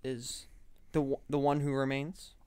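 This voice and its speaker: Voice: mid-range voice, flat delivery, unhurried, a little mellow, smooth, no echo. A podcast co-host in a casual film review chat who talks in a chatty way, often saying "like.". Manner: chatty, leans on "like" and "I'm like".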